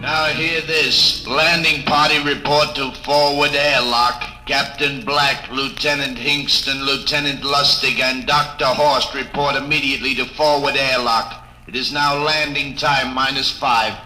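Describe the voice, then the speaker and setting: Intercom announcer, flat and bright, echoey with faint music. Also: male voice, mid-range voice, a little slow, few pauses. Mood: formal. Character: male crewman paging over ship intercom. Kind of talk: 1950s radio drama acting.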